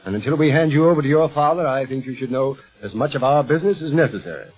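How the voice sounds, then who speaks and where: An actor playing a calm, kindly, authoritative secret agent leading his team in a 1930s radio adventure serial. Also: mid-range voice, fast talker, slightly rough, natural voice. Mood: genial, assured. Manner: reassuring, genial, authoritative. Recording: muffled old radio recording with music.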